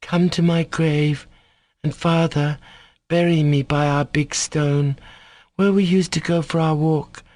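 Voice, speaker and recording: Man voicing a plaintive, high-pitched sick little boy. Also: fairly even intonation, slow, a little mellow, no room sound.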